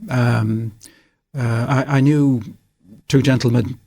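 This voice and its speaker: An older man with a Manchester accent is interviewed as a guest author in a radio studio. His mid-pitched voice sounds dry and close, with no room echo. He talks in a relaxed, rambling way, often pausing on fillers. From England.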